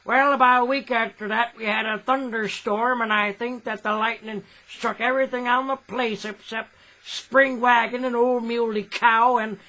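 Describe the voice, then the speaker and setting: A man's voice: very high voice, fairly even intonation, normal speed, pushed and bright, pitched above the performer's own voice, low-quality recording. A man playing a folksy, gullible old farmer with a rural American accent, telling a hearty old-time phonograph comedy monologue. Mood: rueful, amused.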